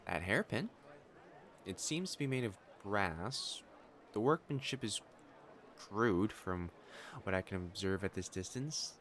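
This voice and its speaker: An actor playing a proud, secretly smitten young noble matchmaker in a romantic audio drama, with haughty formal diction that turns to stammering when flustered. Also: male voice, on the low side, lively intonation, measured pace, long pauses, fairly bright tone. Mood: wistful, formal. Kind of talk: acted romantic audio drama monologue to the listener.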